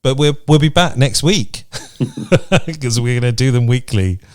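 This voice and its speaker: A man's voice: mid-range voice, unhurried, clear, resonant tone, no echo, studio quality. A man hosting a podcast, chatting casually in a reflective, encouraging way. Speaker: podcast host. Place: remote video chat recording.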